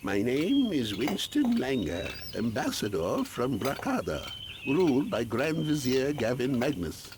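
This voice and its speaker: Fantasy game ambassador: solemn, formal, hugely theatrical intonation, noisy backdrop. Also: male voice, medium pitch, huge pitch swings, unhurried. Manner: formal, dramatic. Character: solemn male ambassador of a wizard kingdom. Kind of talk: scripted video game cutscene dialogue.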